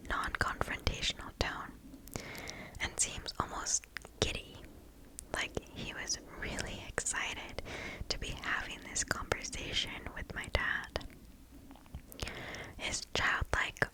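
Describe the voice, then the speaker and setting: Slow, close-whispering woman reading stories. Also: rough recording. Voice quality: breathy whisper. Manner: slow, hushed, deliberate storytelling. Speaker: ASMR host reading stories. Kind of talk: ASMR whispered story reading. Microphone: close microphone.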